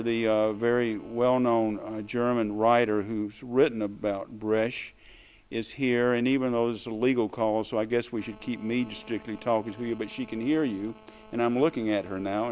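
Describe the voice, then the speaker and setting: A man interviewing someone conversationally at a live event, in a halting, supportive way. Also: medium pitch, fairly even intonation, a little mellow, slight background noise, poor audio.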